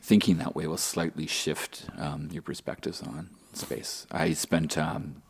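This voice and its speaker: A man's voice: extremely low pitch, flat delivery, a little slow, vocal fry, breathy edge. A man talking as a podcast guest, thoughtful and hesitant, with many fillers. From Canada. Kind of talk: casual conversation.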